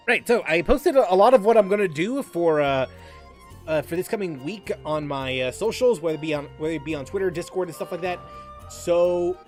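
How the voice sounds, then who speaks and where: A man hosting a casual gaming livestream, chatting in a halting way and often repeating and restarting his phrases. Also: sits high, soft background music. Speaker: livestream host, gamer.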